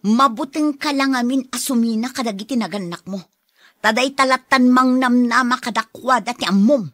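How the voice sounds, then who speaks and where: A woman's voice: a little high, normal speed, a bit bright, no room sound, studio quality. An actress playing a worn-down young wife and mother who pleads, in a Filipino radio drama with a Filipino accent. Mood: frustrated.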